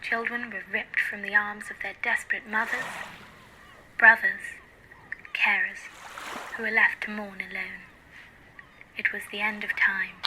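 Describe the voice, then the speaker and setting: A young woman narrating a dystopian radio play as a grave storyteller, in a solemn, measured way. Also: mid-range voice, natural voice. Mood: somber. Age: young adult. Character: grave female narrator of a dystopian near future. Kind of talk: scripted radio drama narration. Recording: clearly noisy recording with clicks.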